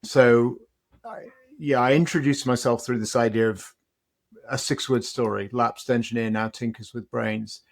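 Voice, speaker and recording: Reflective British man, measured storytelling. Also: medium pitch, steady tone, creaky. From Britain. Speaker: guest, company CEO and author. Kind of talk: interview conversation.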